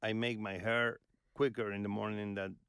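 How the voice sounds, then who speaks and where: A man in his fifties hosting a podcast and teasing his family in casual conversation, recorded on a boom mic in a cozy living-room studio. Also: flat delivery, normal speed, gravelly, fairly bright tone, clear, resonant tone, no room sound. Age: 50s. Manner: teasing, playful questioner. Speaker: podcast host. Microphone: boom-arm studio mic.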